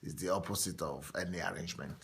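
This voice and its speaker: A man's voice: deep voice, fast talker, vocal fry. A man leading a group discussion, commenting casually and with animation as he teaches. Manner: animated, interjecting and joking. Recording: roomy with faint noise.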